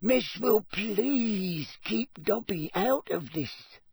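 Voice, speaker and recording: Squeaky meek little house elf, man putting it on, slow and breathy, dry sound. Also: expressive, darkish tone, dry recording, exaggerated voice. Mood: scared. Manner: meek, servile, broken grammar. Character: squeaky timid male house elves.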